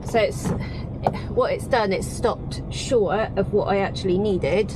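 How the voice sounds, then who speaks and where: A woman's voice: medium pitch, measured pace. British female trucker vlogger, casual and explanatory, engine noise behind her. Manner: chatty, explanatory. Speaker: bulk tipper lorry driver and vlogger. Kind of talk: casual vlog commentary. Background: engine and vehicle noise.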